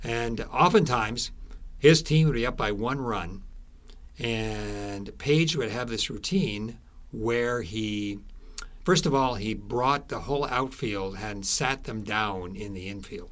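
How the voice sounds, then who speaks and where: Low-voiced man explaining in halting phrases. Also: a bit bright. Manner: halting, explanatory, with thoughtful pauses. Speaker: interviewed author. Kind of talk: conversational interview storytelling.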